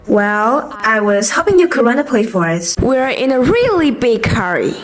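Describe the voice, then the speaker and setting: A woman's voice: fairly high pitch, sing-song, moderate pace. A woman voicing an eager young female rabbit police officer in an amateur cartoon dub, urgent and exasperated. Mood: impatient.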